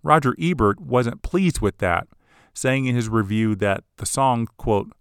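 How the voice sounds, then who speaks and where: A man hosting a podcast, giving scripted, measured commentary on film history. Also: mid-range voice, lively intonation, clear, resonant tone, no echo, studio quality. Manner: measured, analytical. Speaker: podcast host.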